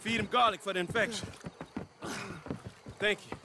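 A man's voice: high voice, steady tone, moderate pace, background noise. An actor playing a humble, obliging swamp boatman with a Southern US accent, plain and deferential, in a game cutscene. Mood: shaken, earnest. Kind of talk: dramatic video game cutscene acting.

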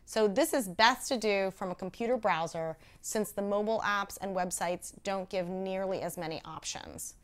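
A woman in her thirties presents a how-to video on a lapel mic. She speaks at a medium pitch in an instructional way, going step by step and dropping in conversational asides. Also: moderate pace, hardly any pauses. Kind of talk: step-by-step tutorial to camera.

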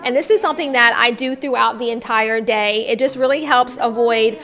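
A woman's voice: on the high side, normal speed, a bit bright. A young woman, a stay-at-home mom, narrating her cleaning routine in a chatty, upbeat voice-over. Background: faint background music.

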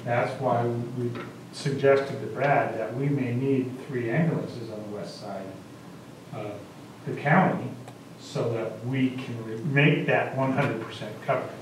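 A man's voice: mid-range voice, fairly even intonation, a little slow, distant mic, slight background noise. Plainspoken man in a very echoey room. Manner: plainspoken, explanatory. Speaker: council member. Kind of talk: explaining at a public meeting. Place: small council chamber, indoors. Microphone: distant camera microphone.

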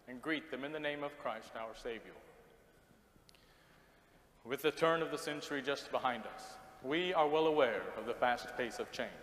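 An American middle-aged man playing a formal, long-winded minister of the early 1900s, preaching in measured, pompous oratory. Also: mid-range voice, a bit bright, lightly acted. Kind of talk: scripted stage sermon. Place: large theater stage. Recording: echoey theater hall, background hiss.

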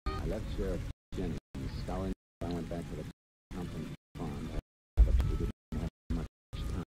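A man's voice: sits low, steady tone, normal speed, loud music behind the voice, close to an everyday voice, rough recording. A man playing a terse, matter-of-fact ranger narrating his case in a vintage western radio drama. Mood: firm, terse. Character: steady, laconic Texas Ranger lawman narrating his case. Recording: old radio recording under heavy rain noise.

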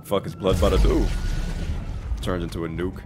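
A man's voice: deep voice, steady tone, normal speed. A man giving casual, profane and blunt reaction commentary over a video game. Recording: game music behind voice.

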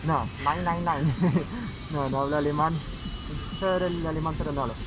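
Young French-accented man, street interviewer, high voice, loud music bed. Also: steady tone, rough recording. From France. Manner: friendly, patient, repeats questions slowly. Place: city plaza outdoors.

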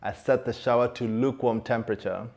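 A man's voice: medium pitch, steady tone, brisk, a little mellow. A man from Tanzania, a Swahili speaker, presenting a church teaching to camera in a studio. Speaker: church leader. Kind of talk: presenting a sermon segment to camera. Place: studio set.

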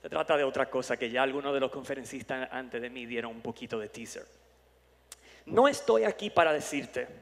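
A man's voice: medium pitch, fairly bright tone. A middle-aged man born in New York with Dominican parents, giving a jokey, playful keynote talk on a conference stage through a headset mic. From born in New York, parents from the Dominican Republic. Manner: playful, jokey, self-promoting. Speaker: conference speaker. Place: large conference hall stage. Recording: long hall reverb.

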